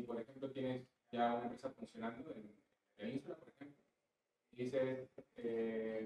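Male audience member, mellow dark voice, casual questioning, very echoey room, off-mic. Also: mid-range voice. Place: audience of indoor conference room.

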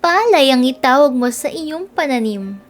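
A Filipino-accented woman voicing cheerful, gentle field grasses that instruct in a melodious, earnest storybook manner. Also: sits high, expressive, moderate pace, pitched above the performer's own voice. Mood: cheerful, guiding.

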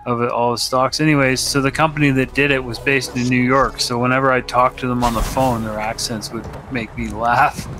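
A man's voice: mid-range voice, a bit bright. A man chatting casually on a game stream, telling rambling stories with lots of fillers. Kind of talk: casual chat. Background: game music.

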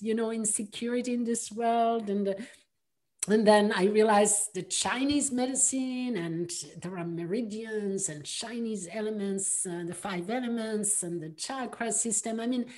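French-accented woman, slightly high voice. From France. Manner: animated, laughs often, exclamatory. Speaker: podcast guest, psychotherapist and coach. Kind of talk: casual conversational storytelling.

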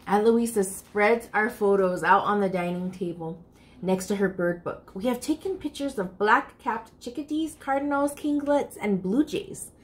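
A woman's voice: mid-range voice, normal speed. A woman in her thirties reading a picture book aloud expressively and speaking directly to children. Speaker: library storytime reader. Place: furnished room indoors.

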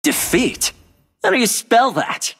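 Cocky, husky monkey king warrior. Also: very high-pitched, huge pitch swings, takes it slowly, a bit bright, faint music behind, heavily acted. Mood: smug, mocking. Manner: brash, taunting, theatrical. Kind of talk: video game hero voice lines.

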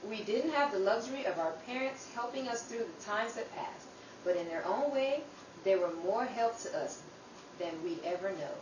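A woman's voice: mid-range voice, animated, moderate pace, natural voice. A woman playing an earnest, grateful youngest sister in an old time radio drama about the Depression. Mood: earnest, reflective. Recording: distant, echoey room with clear background noise.

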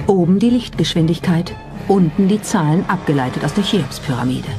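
Documentary narrator over soft music. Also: medium pitch, animated, a little fast.

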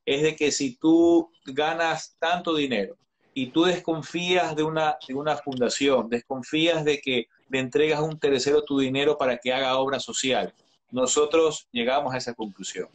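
A man in his thirties with a slightly high voice speaks earnestly as an interview guest. He gives advice in a calm, reflective way, often restarting his phrases. His voice comes through a webcam on a video call from his home. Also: moderate pace. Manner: earnest, advising, frequent restarts and hesitations. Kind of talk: earnest live-stream conversation.

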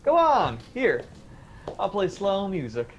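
An actor playing a cheeky, teasing, sceptical young grown son in a radio drama. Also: male voice, sits high, wildly swooping pitch, slow, highs cut off, over music, heavily acted. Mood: teasing, dismissive. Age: young adult. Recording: faint background noise and ambience.

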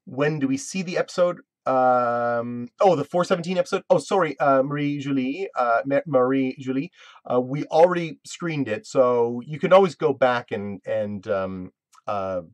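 A man's voice: medium pitch, moderate pace, no room sound. Guy in his 30s chatting on a webcam stream, lots of ums and restarts, dry room sound. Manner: rambling, self-interrupting, full of fillers. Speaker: web series creator hosting a live Q&A. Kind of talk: casual live-stream chat.

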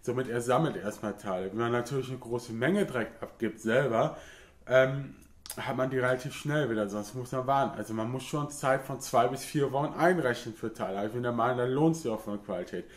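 A man's voice: mid-range voice, fairly quick. A man in his thirties with a cold, from Germany, casually explaining car parts in a home garage vlog with lots of filler sounds. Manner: talkative, frequent filler sounds, matter-of-fact. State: has a cold. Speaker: car hobbyist YouTuber. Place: home garage workshop, indoors. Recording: echoey garage room.

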